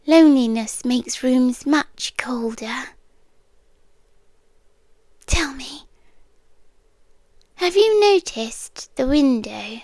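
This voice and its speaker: A British woman playing an eerie, possessive, soft-spoken doctor in an ASMR roleplay, speaking deliberately in a hush that often slips into whispers, with long pauses. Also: high-pitched, lively intonation, no echo, heavily acted. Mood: soothing, tender. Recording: close, dry ASMR recording.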